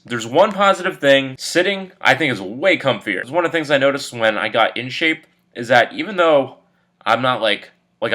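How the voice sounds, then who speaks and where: A man in his early twenties, a little congested from a cold, talking candidly in a relaxed personal vlog with lots of fillers. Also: medium pitch. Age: 20s. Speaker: vlogger.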